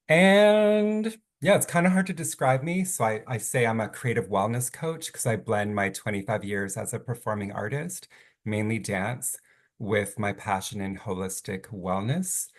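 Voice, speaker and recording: A man from Canada, a creative wellness coach, introducing himself in an expressive, theatrical way. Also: lowish voice. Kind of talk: casual self-introduction.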